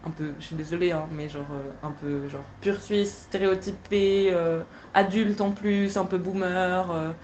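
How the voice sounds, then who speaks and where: A young woman, speaking haltingly with many fillers as an interviewee. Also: lowish voice, fairly even intonation, a little mellow, dull audio, slight background noise. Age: young adult.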